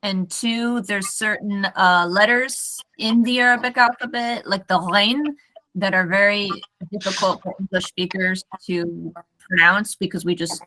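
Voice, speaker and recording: A woman's voice: medium pitch, fairly even intonation, measured pace, sharp tone, rough recording. An American woman tutoring English in a casual online lesson, friendly and self-correcting as she reads text aloud carefully. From United States.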